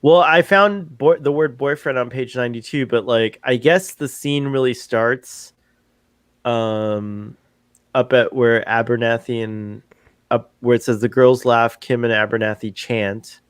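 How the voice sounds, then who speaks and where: A man hosting a live stream, chatting casually in an excitable, rambling way and repeating himself. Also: medium pitch, unhurried, clean tone, no echo, clean studio sound. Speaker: stream host.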